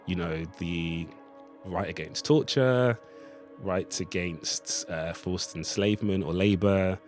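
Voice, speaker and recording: A man's voice: low-pitched, slow, soft background music. A man giving a thoughtful, discursive interview as a writer, speaking in a reflective conversational way. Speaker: writer of a radio play, interviewee.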